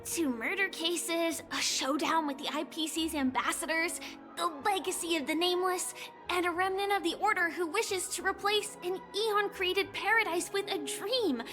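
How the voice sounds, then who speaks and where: A woman voicing a gentle, earnest, wistful young woman in an anime-style video game, speaking softly and sincerely with hesitant pauses. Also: extremely high pitch, faint music behind. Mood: warm, admiring. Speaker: game character voice actor.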